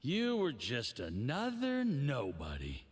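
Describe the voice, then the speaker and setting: An actor voicing a boastful, condescending diplomat in a video game, with a theatrical, patronizing drawl. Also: male voice, medium pitch, wildly swooping pitch, slow, full character voice. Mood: smug, patronizing. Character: boastful, condescending tipsy male diplomat official, later poisoned and indignant. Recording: long reverb, clear background noise.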